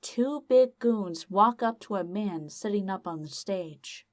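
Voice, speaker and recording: A woman's voice: mid-range voice, expressive, a little slow. A woman narrating a scripted audio drama as storyteller.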